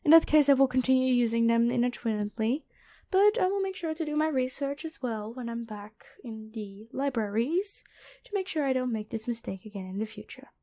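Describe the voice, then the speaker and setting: A woman playing a gentle, dutiful angel medic in an ASMR roleplay, speaking soothingly and caringly to one listener. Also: a little high, animated, fairly quick, hardly any pauses, lightly acted. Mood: calm, curious.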